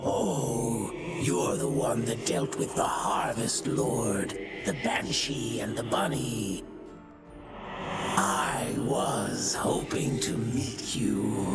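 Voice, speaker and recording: Gravelly undead spectre villain. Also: male voice, lowish voice, exaggerated voice. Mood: menacing. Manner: theatrical, taunting. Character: gloating undead spectre villain, theatrical and spiteful. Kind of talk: video game character acting. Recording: loud music behind voice. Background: loud game music.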